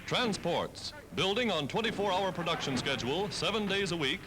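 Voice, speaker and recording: High-pitched American newsreel announcer man, animated. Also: moderate pace, noisy background. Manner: emphatic, dramatic announcer delivery. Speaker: newsreel narrator. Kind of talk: 1940s newsreel narration, scripted. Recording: old 1940s newsreel film soundtrack.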